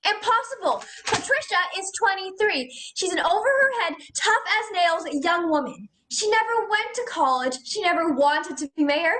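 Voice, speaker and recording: A woman's voice: extremely high pitch, animated, moderate pace, hardly any pauses, sharp tone, exaggerated voice. A teenage girl playing an indignant, stubborn diva actress in a stage comedy, arguing in a declamatory way over a video call. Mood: indignant, grand. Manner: declamatory, argumentative.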